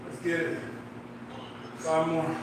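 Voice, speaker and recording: A man's voice: a little high, flat delivery, takes it slowly. Winded Missouri man, 40s, counting reps and hyping himself up, far from the mic. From Midwest, Missouri. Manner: self-motivating, counting aloud, urging himself on. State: out of breath from exercise. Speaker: fitness livestreamer. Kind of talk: casual workout livestream chat, counting reps. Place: home workout space at night. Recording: echoey room, mic far off, noisy background.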